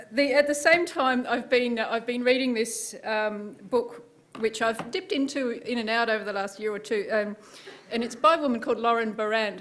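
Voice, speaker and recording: An Australian woman with a fairly high voice, speaking haltingly and repeating her words. She shares reflections and reads a short passage as a visiting writer. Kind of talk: reflective reading.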